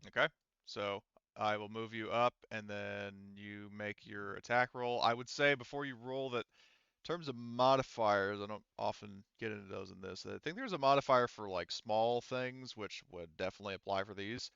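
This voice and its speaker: A man with a low, smooth voice acts as game master for a tabletop roleplaying game. He talks informally, narrating and explaining rules as he goes. The audio is dry and slightly dull. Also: moderate pace, fairly bright tone, no echo. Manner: informal, mildly profane.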